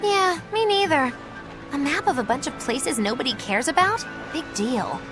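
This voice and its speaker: A woman playing a small, cheeky magical creature with a squeaky, theatrical delivery in an animated game. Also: moderate pace. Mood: dismissive. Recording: music behind the voice.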